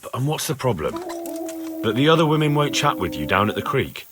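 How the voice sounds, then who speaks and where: A man's voice: mid-range voice, sing-song, a little fast, background noise, lightly acted. A man playing a plain-spoken, dutiful young blacksmith's son in a video game cutscene. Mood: urgent persuasion. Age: young adult.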